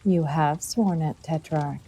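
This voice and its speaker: A self-possessed young princess, cool and formal. Her voice is slightly low and mellow, measured in the manner of classical audio drama. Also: husky, heavily acted. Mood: cool, resolved. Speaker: actor. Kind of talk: restrained audio drama acting.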